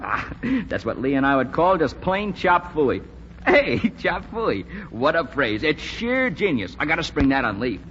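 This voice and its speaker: An actor playing a brash, wisecracking young American fighter pilot, slangy and breezy, in a 1940s radio drama style. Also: male voice, a little high, sing-song, measured pace. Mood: breezy, teasing. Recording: muffled, noisy vintage radio recording.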